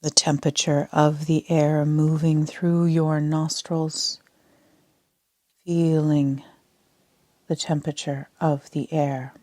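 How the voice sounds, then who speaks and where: A woman leading a sleep meditation as a yoga nidra instructor, speaking in a soft, half-whispered, calm and soothing way. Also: low-pitched, slow, long pauses, no echo.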